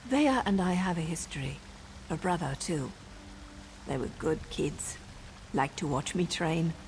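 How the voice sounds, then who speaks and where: A woman's voice: sits low, expressive, unhurried, a little mellow, a little hiss or hum. An actress voicing a wry, teasing and self-assured female assassin in a fantasy video game. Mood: earnest, protective. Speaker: assassin and mentor. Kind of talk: video game character dialogue.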